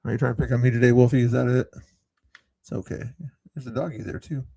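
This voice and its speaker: A man's voice: mid-range voice, little pitch movement, fast talker, vocal fry, dark tone, husky, no echo, clean studio sound. A man hosting a live stream, chatting in a laid-back way and speaking to his viewers. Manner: laid-back, talks to the chat. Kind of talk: casual live-stream chat. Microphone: streaming microphone.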